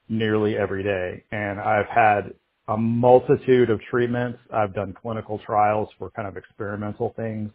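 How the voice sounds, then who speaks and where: A man in his thirties speaking as an interview guest, halting and reflective, restarting his sentences often. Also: fairly low pitch, lo-fi sound. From United States. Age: 30s. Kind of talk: candid interview.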